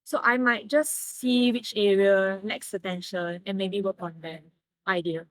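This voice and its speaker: A young woman from Malaysia, a guest in a casual interview who explains her ideas in careful, measured steps. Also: medium pitch, steady tone, a bit bright, dry recording, rough recording. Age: young adult.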